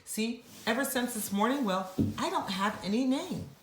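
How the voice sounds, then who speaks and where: An earnest, kind little storybook boy, played by a woman, speaks politely in a high voice. His pitch moves a lot and the room echoes slightly. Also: lively intonation, moderate pace, a little hiss or hum, natural voice. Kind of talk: storybook character voice.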